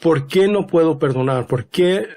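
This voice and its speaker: Slow, halting man preaching Bible talk. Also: on the high side, mellow. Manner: slow, searching, many fillers and restarts; asks rhetorical questions to the listeners. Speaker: podcast host and Bible teacher. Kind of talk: casual sermon-style Bible teaching.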